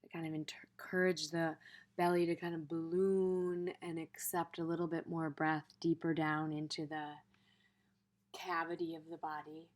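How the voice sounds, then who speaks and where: A woman leading an unscripted guided meditation in a gentle, unhurried manner, pausing and letting phrases trail off softly. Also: low voice, level tone.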